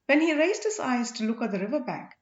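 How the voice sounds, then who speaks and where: A woman reading an audiobook story in an expressive, scripted narrating style. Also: a little high, fast talker. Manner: expressive, acts out dialogue. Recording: echoey room, dull lo-fi sound.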